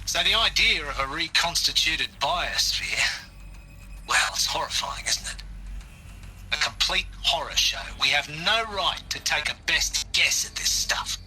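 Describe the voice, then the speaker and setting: An actor playing a bitter, resigned older scientist and outdoorsman in a video game monologue, measured and wryly disdainful. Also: fairly high pitch, pushed and bright, soft background music, rough recording. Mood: appalled, earnest. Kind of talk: recorded confessional monologue, video game acting.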